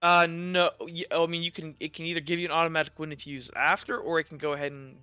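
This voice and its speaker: A man narrates a tabletop roleplaying game as game master, improvising descriptions informally. His voice is bright and smooth. The recording is dry and a little dull, with faint music underneath. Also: on the high side, little pitch movement, fast talker, no room sound.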